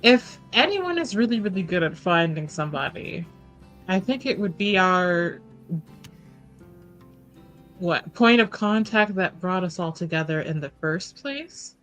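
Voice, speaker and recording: Bright-voiced woman, slow and deliberate. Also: fairly low pitch, expressive, soft background music. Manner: deliberate, thinking aloud. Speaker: tabletop roleplaying player. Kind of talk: casual, reasoned game table talk.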